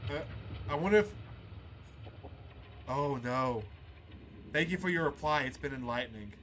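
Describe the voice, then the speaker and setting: A man hosting a gaming video, reading game dialogue aloud and musing between lines in a halting, casual way. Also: medium pitch, expressive, fairly bright tone. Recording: clear background noise under the voice.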